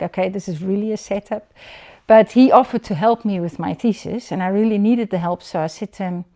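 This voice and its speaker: A woman giving a personal faith testimony in a relaxed, conversational way, full of small fillers and restarts. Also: mid-range voice. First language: not Dutch. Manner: conversational, many fillers and restarts, laughs often. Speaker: church member giving testimony.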